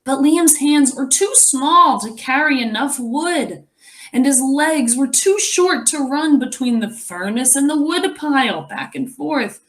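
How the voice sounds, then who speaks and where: Slow-paced woman reading to children. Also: high-pitched. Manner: expressive, instructive, thinking aloud. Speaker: teacher reading a picture book aloud. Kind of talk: storybook read-aloud with explanatory asides for young children.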